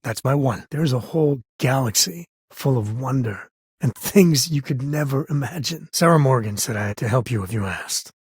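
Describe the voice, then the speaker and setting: Loyal space ranger, game hero, heavily husky rasp, mid-pitched, earnest, wry, conversational. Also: male voice, lively intonation, normal speed, no echo, clean studio sound. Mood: wry, steady. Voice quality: husky, raspy. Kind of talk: video game character voice lines.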